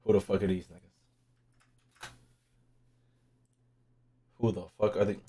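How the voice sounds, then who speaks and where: A man's voice: deep voice, little pitch movement, slow pace, warm tone, breathy edge. A man streaming in a casual, slangy way, swearing freely and repeating phrases as he reacts to game lore. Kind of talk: casual live reaction chat.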